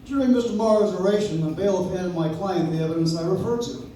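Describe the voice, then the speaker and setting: An actor playing an earnest, formal, persistent defense lawyer in a live old-time radio play, recorded from the audience in an echoey room. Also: male voice, high voice, quick pace, dark tone, far from the mic, a little hiss or hum, lightly acted. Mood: earnest, insistent.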